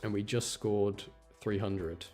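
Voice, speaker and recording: Man, very soft dark timbre, fairly deep, casual thinking-aloud game commentary, quiet music behind. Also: steady tone, slow. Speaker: game streamer.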